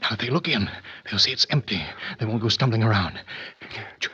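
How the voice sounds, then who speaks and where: A man acting as a weak, wounded fugitive in an old-time radio thriller, giving halting, urgent orders. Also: on the low side, animated, pushed and bright, breathy edge, heavily acted. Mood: urgent, faint. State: weak, faint. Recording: muffled vintage radio recording.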